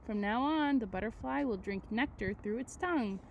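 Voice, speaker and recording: A woman teacher reading aloud to children, with expressive delivery and casual, chatty asides that put questions to her listeners. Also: on the high side, moderate pace, lo-fi sound, slight background noise. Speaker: elementary school teacher.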